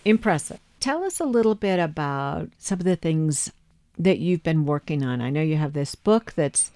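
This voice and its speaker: A woman's voice: low voice, lively intonation, normal speed, warm tone, no room sound. A woman hosting a news magazine, presenting scripted introductions and interview questions.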